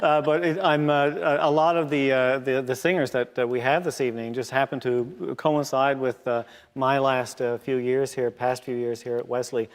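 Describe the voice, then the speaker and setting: A man introducing hymns at a church service, with a Newfoundland accent and a halting delivery full of pauses. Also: medium pitch, fairly even intonation, smooth. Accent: Newfoundland English. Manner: halting, many filler pauses. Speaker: church music leader introducing singers. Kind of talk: hymn program introductions. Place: church sanctuary. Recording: long church reverb.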